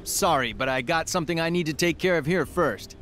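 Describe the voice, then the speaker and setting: An actor playing an earnest young martial-arts superhero in a video game, friendly in manner. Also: male voice, on the high side, fairly quick, fairly bright tone, faint music behind, lightly acted. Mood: earnest, upbeat.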